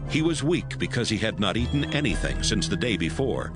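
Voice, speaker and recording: Low-voiced male Bible narrator over music. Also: big pitch swings, fairly quick.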